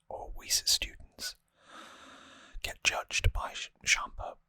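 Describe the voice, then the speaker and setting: Hushed close-up ASMR whisper, very dry. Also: no room sound. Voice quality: breathy, unvoiced whisper. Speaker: ASMR host. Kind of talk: whispered ASMR, rambling trivia recap. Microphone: close microphone.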